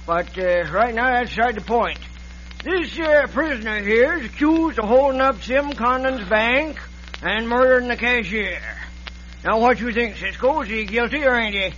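Crotchety frontier judge, unusually high voice, impatient and bullying, hesitant folksy phrasing, noisy old radio drama. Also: male voice, moderate pace. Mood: gruff, folksy. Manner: hemming folksy drawl, snaps into shouted orders. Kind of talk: old-time radio western acting. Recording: noisy, muffled vintage radio recording.

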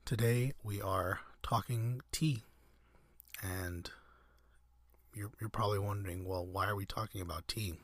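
A man with a very low, husky voice hosts a sleep podcast, wandering through his thoughts with ums and restarts. His voice is a bit raspy from a cold, and the recording is close and dry with no room sound. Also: a bit bright, no echo. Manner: meandering, hesitant, frequent restarts and fillers. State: recovering from a cold, slightly raspy. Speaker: podcast host. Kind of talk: soft-spoken ASMR ramble.

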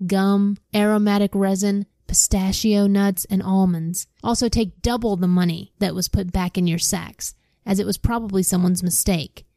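Sorrowful old patriarch, read by a woman; slow mournful lines, mellow voice. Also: medium pitch, dry recording, studio quality, natural voice.

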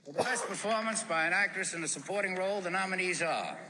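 A man presenting an award, announcing nominees in a loud, projected voice. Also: sits high, quick pace, slight background noise. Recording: awards hall with long reverb.

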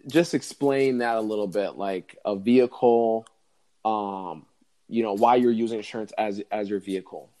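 A man's voice: lowish voice, normal speed, mellow. Playful joking male co-host. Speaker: podcast co-host. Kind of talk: casual interview chat.

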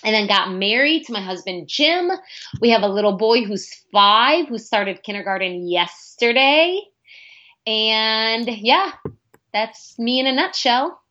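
A woman's voice: a little high, a little slow. Female podcast guest, thirties, originally from Lebanon, non-native English speaker, candid and informal, on a slightly muffled mic. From born in Lebanon, moved to America at ten. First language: not English. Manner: candid, informal, animated quoting of own thoughts. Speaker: podcast guest, nonprofit founder. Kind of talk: casual conversational interview.

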